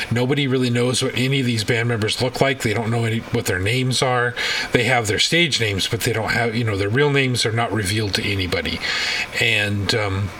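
A man hosting a casual live talk show, speaking haltingly and often stumbling over his words. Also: medium pitch, bright, forceful tone. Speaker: podcast host. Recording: distant mic with faint background noise.

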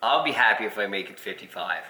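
A man in his thirties telling stories in a joking, animated way in casual chat. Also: on the low side, fast. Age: 30s. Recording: roomy echo.

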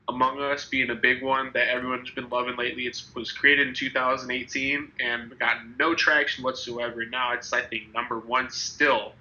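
A man's voice: mid-range voice, sharp tone, lo-fi sound. A young man in his twenties with a slightly congested, nasal voice from a cold, hosting a casual podcast chat and rambling with many restarts. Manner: rambling, restarts, laughs easily. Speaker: podcast host.

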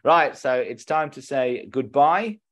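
A man's voice: medium pitch, expressive, takes it slowly. A man with a British accent co-hosts a comedy podcast. His talk is casual and chatty, with a jokey manner and a mid-range voice. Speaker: podcast co-host.

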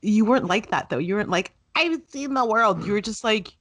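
A woman co-hosting a podcast in casual chat, animated and playful, often laughing. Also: medium pitch, brisk. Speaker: podcast co-host.

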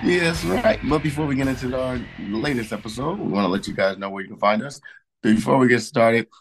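A hoarse man hosting a casual true crime podcast, rambling through announcements with many fillers. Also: medium pitch, fairly quick, soft background music. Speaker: podcast host.

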